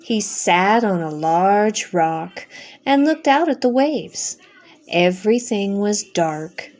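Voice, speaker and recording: A middle-aged or older woman, a grandmother, narrating a children's storybook aloud with simple, expressive phrasing. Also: mid-range voice, slow pace. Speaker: grandmother reading a bedtime story.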